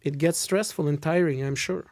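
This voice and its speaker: A man's voice: a little high, moderate pace, a little mellow, no echo, clean studio sound. Emphatic middle-aged male guest, native Russian speaker. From Russia and Israel. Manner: opinionated, emphatic repetition of phrases. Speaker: interview guest, lawyer. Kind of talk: conversational interview answers.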